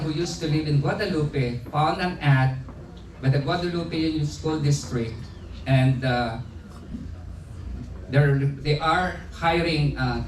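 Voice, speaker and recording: Older Filipino-accented man in his 70s, speech at a family party, slightly high voice, heard from afar in an echoey room with background noise. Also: far from the mic. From Philippines. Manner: unhurried, many "uh" pauses and restarts. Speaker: birthday celebrant giving a speech.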